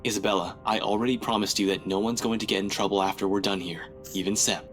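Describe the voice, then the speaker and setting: A man's voice: lowish voice, steady tone, quick pace, soft background music, lightly acted. An actor playing a determined, no-nonsense male investigator in a visual novel game, firm, clipped and insistent. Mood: firm, businesslike.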